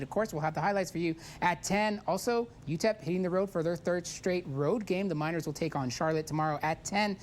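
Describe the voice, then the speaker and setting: A man anchoring a local TV sports show, hoarse and losing his voice, reading scores quickly. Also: sits high, a little hiss or hum.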